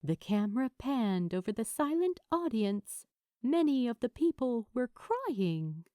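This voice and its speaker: A woman narrates a story in a fairly high voice. Her reading is unhurried and deliberate, with lively rises and falls that give it a dramatic feel. The sound is close and dry. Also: no room sound. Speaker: audiobook narrator. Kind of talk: expressive scripted fiction narration.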